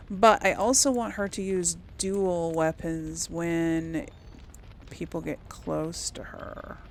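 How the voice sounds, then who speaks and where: A woman's voice: on the low side, vocal fry, a little hiss or hum. A woman hosting a casual game playthrough, thinking aloud in a hesitant, musing way. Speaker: gaming video host.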